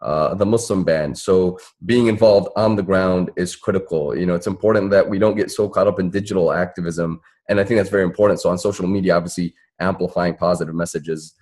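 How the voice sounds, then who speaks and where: A man with a notably low, deep voice speaks as a panelist in a civic discussion. He talks earnestly, in the manner of an activist addressing a public forum. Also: steady tone, a little fast, few pauses, a little mellow. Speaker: panelist, civil rights activist.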